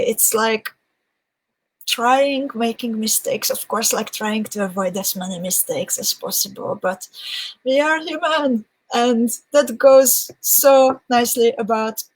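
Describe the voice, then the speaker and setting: A young woman with a Hungarian accent chats on a live stream at a slow, easy pace. Her voice sits a little high, and she thinks aloud with restarts as she answers viewer comments. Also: low-quality recording. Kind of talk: casual live-stream chat.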